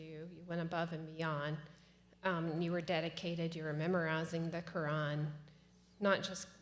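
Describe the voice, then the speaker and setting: A woman's voice: on the low side, slight background noise. A woman interviewing a guest, encouraging and asking long searching questions. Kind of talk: conversational interview.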